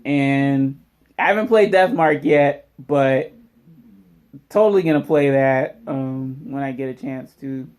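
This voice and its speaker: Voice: medium pitch, takes it slowly, darkish tone. A vlog host chatting casually and informally to viewers. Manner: informal, addresses viewers as y'all. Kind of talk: casual unboxing vlog commentary.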